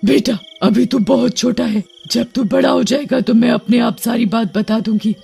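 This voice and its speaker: A man voicing a cursed old grandmother witch, menacing yet tender, in an animated horror bedtime story. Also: very high-pitched, normal speed, creaky, slightly rough, soft background music, pitched above the performer's own voice, heavily acted. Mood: solemn, tender.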